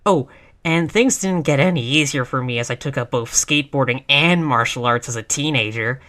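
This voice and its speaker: Bright-voiced scrappy tough girlfriend, acted by a woman. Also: very deep voice, moderate pace. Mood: boastful, casual. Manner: casual, sweary, cocky bravado. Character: tough, reckless, scrappy girlfriend, brash but affectionate. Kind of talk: intimate roleplay audio acting.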